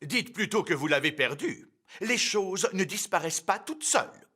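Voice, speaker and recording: A man's voice: high-pitched, lively intonation, moderate pace, bright, forceful tone, breathy edge, no echo, studio quality, heavily acted. A man voicing a pompous, theatrical fairy king and father in a French children's cartoon.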